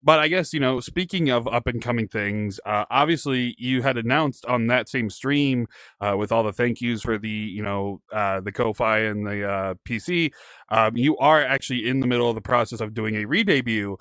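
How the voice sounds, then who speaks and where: A man's voice: medium pitch, moderate pace, fairly bright tone, dry recording. A man hosting an interview show, talking casually and conversationally with his guest. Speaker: podcast host, interviewer.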